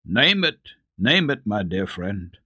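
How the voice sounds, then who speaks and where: A man voicing a hearty, grateful gentleman with an effusive, emphatic manner in a dramatic storybook reading. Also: on the low side, big pitch swings, takes it slowly, slightly rough, no room sound, clean studio sound, heavily acted. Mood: eager. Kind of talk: dramatic reading of children's classic.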